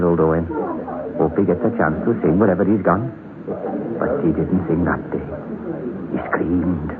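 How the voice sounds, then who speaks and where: An actor playing a haunted Welsh coal miner, telling of terror in a dramatic old-time radio horror style with repeated phrases. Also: male voice, sits low. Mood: grieving, grim. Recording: tinny, noisy old radio broadcast.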